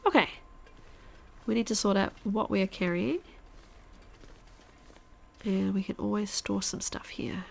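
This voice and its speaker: A congested woman streamer talks through her game in a loose, chatty way, thinking aloud and sometimes slipping into a soft whisper. Her voice sits at a middle pitch with a gravelly, creaky edge, and her nose sounds blocked. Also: fairly even intonation, a little slow, pauses often. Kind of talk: casual live-stream chat while gaming.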